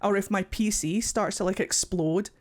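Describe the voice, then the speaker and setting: A man with a Scottish accent, hosting casual reaction commentary from his warm home office, animated and encouraging, sometimes swearing in passing. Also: high voice, moderate pace. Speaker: YouTube reaction host.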